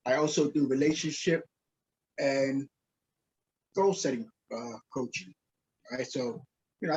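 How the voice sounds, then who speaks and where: Husky New man, slightly muffled. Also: mid-range voice, darkish tone. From Queens, New York, USA. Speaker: podcast guest, minister, author and coach. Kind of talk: casual interview answers. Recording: slightly dull, lo-fi call audio.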